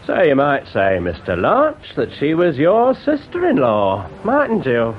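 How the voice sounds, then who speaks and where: A man's voice: fairly high pitch, wildly swooping pitch, measured pace, faint music behind. An actor playing a tight-lipped, grudging suspect who is a grieving father, in a radio drama. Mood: sullen. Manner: curt, grudging answers. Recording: dull lo-fi radio recording.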